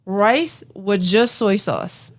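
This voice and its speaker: A young woman co-hosting a casual podcast, blunt and animated, swearing freely as she talks. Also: mid-range voice, extremely slow. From Cambodian-American family. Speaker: podcast co-host. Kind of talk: casual chat.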